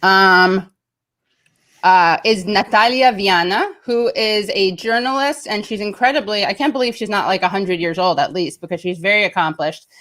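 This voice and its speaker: A woman's voice: mid-range voice, normal speed, a bit bright. A woman hosting a casual online talk show, chatty and self-mocking, with a slightly nasal voice. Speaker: show host. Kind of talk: casual livestream banter.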